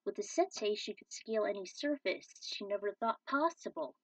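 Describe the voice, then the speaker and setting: Husky woman narrating fan fiction. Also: mid-range voice, expressive, moderate pace, no echo. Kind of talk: amateur story narration and commentary. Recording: dull, slightly distant microphone.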